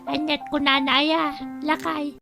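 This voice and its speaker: An actress playing a scheming wife who talks in a coaxing, sly way. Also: female voice, sits high, flat delivery, slow, creaky, over music, full character voice. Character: scheming wife of a greedy man. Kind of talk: radio drama acting.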